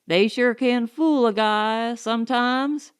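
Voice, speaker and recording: A folksy male colonist from a science fiction storybook, voiced by a woman. He speaks slowly and earnestly in homespun phrases. The pitch sits on the high side. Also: clear, resonant tone.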